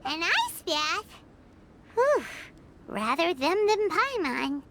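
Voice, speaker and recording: Tiny childlike game companion, very high squeaky voice, chirpy, exclamatory, self-important, swooping intonation. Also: female voice, big pitch swings, slow, very noisy, full character voice. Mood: amazed. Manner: speaks of herself in the third person, exclamatory. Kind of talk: anime video game voice acting.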